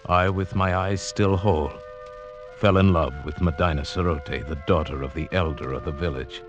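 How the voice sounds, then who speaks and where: Actor as very deep-voiced, lovestruck radio drama hero. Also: male voice, fairly even intonation, moderate pace, dull audio, lightly acted. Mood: wistful narrating. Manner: earnest, poetic, theatrical delivery. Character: lovestruck sighted outsider man, village slave, earnest and dreamy. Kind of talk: 1940s-50s radio drama acting, with first-person narration. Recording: old radio drama, music underneath.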